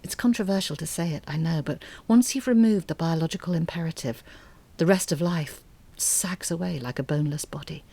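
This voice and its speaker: A woman playing an articulate, bitter middle-aged mother in an audio drama monologue, speaking in a measured, intimate way. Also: sits low, mellow, dry recording. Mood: wistful, contented. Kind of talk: dramatic monologue, letter read as confession.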